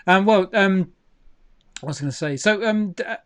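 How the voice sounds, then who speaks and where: A man's voice: high voice, moderate pace. A man interviewing a guest, hesitant and full of fillers as he phrases questions. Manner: hesitant, many fillers and restarts. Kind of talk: casual video-call interview.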